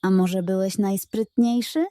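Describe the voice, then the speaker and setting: A woman voicing a stern, upright male horse sheriff in a children's Western story, grave and measured. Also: medium pitch, steady tone, mellow, dry recording, clean studio sound, natural voice. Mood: accusing.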